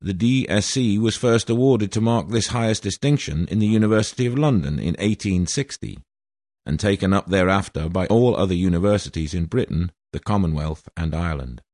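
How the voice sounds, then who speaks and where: A man's voice: low-pitched, a little fast, darkish tone, dry recording, studio quality. A man in his sixties from Wales reading a formal, aggrieved statement in a grandiose manner. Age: 60s. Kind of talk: prepared statement read aloud.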